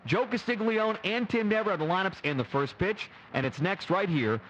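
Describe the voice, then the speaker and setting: A man's voice: high voice, expressive, normal speed, fairly bright tone, highs cut off, slight background noise. A man announcing on sports radio before a game.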